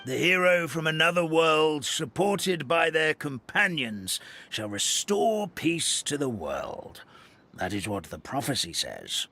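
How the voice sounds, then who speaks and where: A man's voice: on the high side, creaky, bright, forceful tone, full character voice. An actor playing a chubby, forgetful old male paper hamster who chuckles and sighs as he rambles through the forest's history. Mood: rambling. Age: older. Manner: doddering, chuckling, sighing storyteller.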